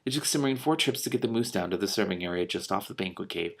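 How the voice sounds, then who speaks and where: A man reading a storybook aloud as host, breaking in with casual, animated and incredulous asides. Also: fairly low pitch, fast talker, gravelly.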